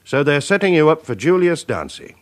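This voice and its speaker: A man playing a shrewd senior police officer in a radio crime drama, authoritative, clipped, deliberate and sceptical. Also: fairly high pitch, big pitch swings. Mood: firm, sceptical.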